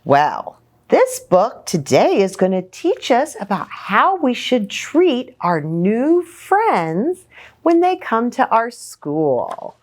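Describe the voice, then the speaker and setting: A woman hosting a children's story time, reading a picture book in an expressive, sing-song way and speaking to young children. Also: on the high side, takes it slowly. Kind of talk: animated children's picture-book read-aloud.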